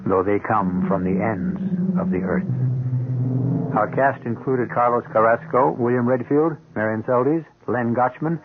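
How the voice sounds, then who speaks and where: A man hosting a radio drama, giving solemn, measured scripted narration. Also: medium pitch, slight background noise. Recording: tinny band-limited radio recording. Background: music.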